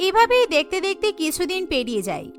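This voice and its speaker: A woman's voice: very high-pitched, sing-song, fast talker, faint music behind. A woman narrating a scripted cartoon story.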